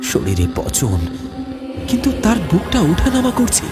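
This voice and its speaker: A man's voice: sits high, huge pitch swings, measured pace. A man narrating a scripted horror story as a dramatic storyteller. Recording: loud music behind the voice.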